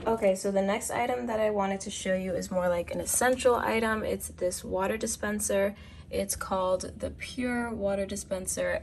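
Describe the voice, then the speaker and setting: A woman's voice: mid-range voice, creaky, a little hiss or hum. A young woman vlogger chatting through her favorite things at home, a little congested from a cold. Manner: chatty, upbeat product recommendations. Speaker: lifestyle vlogger. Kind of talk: casual walkthrough vlog. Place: home apartment, kitchen.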